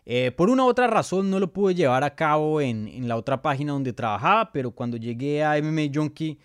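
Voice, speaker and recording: A man's voice: a little high, expressive, fairly quick, clean tone, no room sound, studio quality. A Colombian-born man hosting a live Q&A, reading viewer questions aloud and answering them in a chatty way, with many fillers and restarts. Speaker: MMA journalist and show host.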